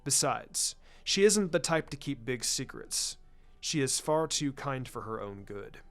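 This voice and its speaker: A man voicing a formal, proud clan patriarch and father in a story reading, speaking in a guarded, measured way. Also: mid-range voice, big pitch swings, a bit bright. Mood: troubled, firm. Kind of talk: fan fiction story reading. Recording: music under the voice.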